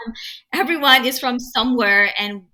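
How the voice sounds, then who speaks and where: Bright, animated woman on a panel. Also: fairly high pitch. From Nepal. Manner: animated and emphatic, quick to laugh at herself. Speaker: podcast panelist. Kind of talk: casual panel discussion.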